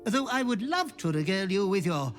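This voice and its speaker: Wildly swooping, fawning fiendish butler. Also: male voice, sits high, big pitch swings, brisk, fairly bright tone, soft background music. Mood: gleeful fawning. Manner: theatrical, obsequious, florid wording. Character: fawning fiendish old butler creature, gleefully depraved. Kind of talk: video game character acting.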